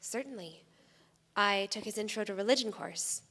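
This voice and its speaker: A woman's voice: medium pitch, moderate pace, pushed and bright, natural voice. A woman playing a polite, deferential young army cadet in a live staged reading. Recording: live theatre, long reverb, faint noise.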